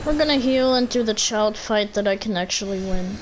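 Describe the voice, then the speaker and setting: A woman's voice: mid-range voice, brisk, noisy background. A woman doing casual gameplay commentary as a streamer, complaining in a blunt way. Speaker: game streamer. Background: game music.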